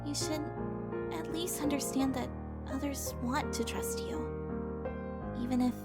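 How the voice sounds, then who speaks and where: A woman acting a gentle, vulnerable young heroine in an audio drama, telling her past in an earnest, emotive, confiding way. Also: high voice, fairly even intonation, unhurried, loud music behind the voice. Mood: earnest, hesitant.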